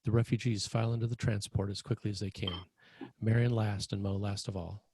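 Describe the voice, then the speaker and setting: A man narrating scene directions for a screenplay table read, with a slightly husky voice. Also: fairly low pitch, flat delivery, a little fast, dark tone, slight background noise. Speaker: narrator reading stage directions.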